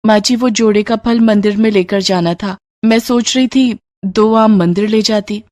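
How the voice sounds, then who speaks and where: A woman's voice: medium pitch, steady tone, normal speed, a little mellow, no echo, clean studio sound, natural voice. Meek, long-suffering young daughter-in-law, voiced by a woman: mid-range voice, humble and pleading, for a devotional tale. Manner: respectful, pleading. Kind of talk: devotional story dialogue.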